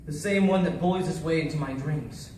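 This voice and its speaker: A man performs a haunted military survivor in a dramatic stage-style monologue. The voice is high for a man and intense, moving between hushed grief and urgency. It sounds distant in a heavily echoing space with faint noise. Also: a little fast, lightly acted. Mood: haunted, grim. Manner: theatrical, intense, swinging from hushed to shouting. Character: haunted Navy SEAL survivor recounting a deadly battle. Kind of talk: dramatic first-person monologue.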